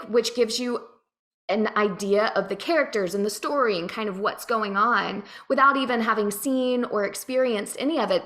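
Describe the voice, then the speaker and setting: A woman's voice: a little high, a bit bright. A woman chatting casually and enthusiastically as a guest voice actor. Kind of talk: casual chat.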